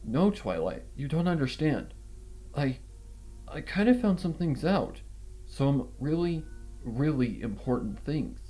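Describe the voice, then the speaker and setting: A man's voice: a little high, very animated, measured pace, dark tone, soft background music. Man reading as a sorrowful, apologetic young male dragon. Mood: hesitant, resolved. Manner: hesitant, earnest.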